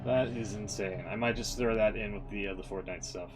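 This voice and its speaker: A man livestreaming casual, rambling commentary on a video game, opinionated, slangy and quick to swear. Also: lowish voice, fairly even intonation, music in the background.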